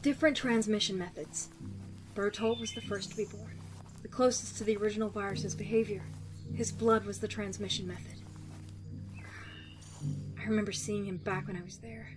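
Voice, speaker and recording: Actress as wry, sickly young female survivor in a zombie audio drama, measured and guarded, music underneath. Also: medium pitch, steady tone, lightly acted, low-quality recording. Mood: grim, confiding. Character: guarded, sickly young woman survivor of cruel virus experiments.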